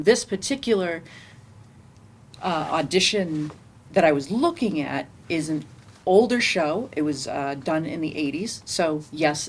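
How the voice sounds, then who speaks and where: A low-voiced woman talks on an informal theater panel. She speaks haltingly but with emphasis, repeating key words. There is clear room noise behind her. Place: indoor library event room.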